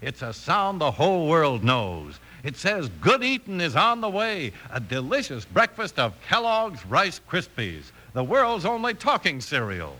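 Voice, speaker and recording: A man's voice: a little high, wildly swooping pitch, unhurried, hardly any pauses, pushed and bright. A man announcing on a 1950s radio show with scripted advertising and narration.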